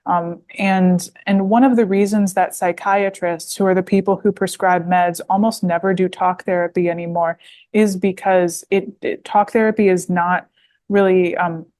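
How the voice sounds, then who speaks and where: A woman's voice: on the low side, fairly even intonation, normal speed, a little mellow. Rambling young woman. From United States. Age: 20s. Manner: rambling, heavy with fillers and restarts. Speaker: guest author. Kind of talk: podcast interview.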